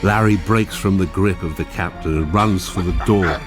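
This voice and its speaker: A man narrating a radio drama from a script in a measured, descriptive way. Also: low-pitched. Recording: music clearly behind. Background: music.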